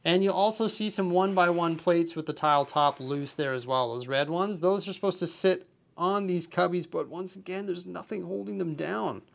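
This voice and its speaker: A man's voice: high-pitched, moderate pace, creaky. A young man reviewing a building set, enthusiastic but nitpicky, explaining details as he handles the pieces. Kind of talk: hands-on product review.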